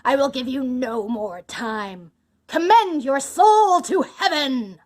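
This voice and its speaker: A menacing storybook husband, acted out by a woman, wrathful and imperious. The commands come loud and drawn out, with big swings in pitch. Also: lively intonation, moderate pace, natural voice. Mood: furious. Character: menacing, wrathful husband and wife-killer, an adult man. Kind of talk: dramatised fairy-tale storybook reading.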